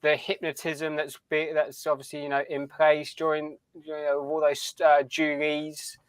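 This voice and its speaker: Man, slightly high voice, podcast co-host, casual fan talk, halting phrasing, dry sound. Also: monotone, clean tone, no echo, poor audio. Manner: halting, repeats phrases as he thinks. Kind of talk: casual fan chat about a TV series.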